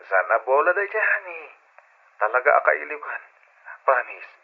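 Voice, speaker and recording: A husky young Ilocano boyfriend sweet-talks and reassures in a coaxing, playful manner. The old radio drama recording is dull and lo-fi. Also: male voice, on the high side, very animated, moderate pace, exaggerated voice. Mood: playful, affectionate.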